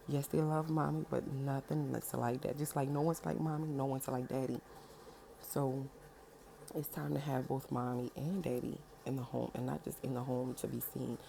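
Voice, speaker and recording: A woman in her thirties with a naturally deep voice, a little under the weather, giving a loose, rambling message at home, full of ums and asking "right?". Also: gravelly, warm tone, a little hiss or hum, rough recording. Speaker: spiritual vlogger. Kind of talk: casual direct-to-camera message. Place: home with a washing machine running. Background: washer running, children fussing at times.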